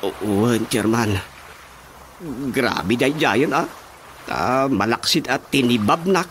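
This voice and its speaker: A man's voice: medium pitch, expressive, takes it slowly, a little hiss or hum. A man acting a sheepish village councilman in an Ilocano radio drama comedy, stammering hesitantly, with an Ilocano accent. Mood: pleading, insistent. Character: sheepish village councilman making excuses to his boss. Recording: loud music behind the voice.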